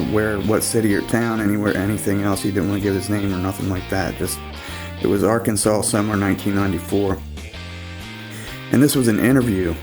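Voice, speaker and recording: A man's voice: fairly low pitch, darkish tone. A man, hosting and casually narrating an encounter story, his voice nasal and congested from a cold, laid-back with rambling asides. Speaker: host.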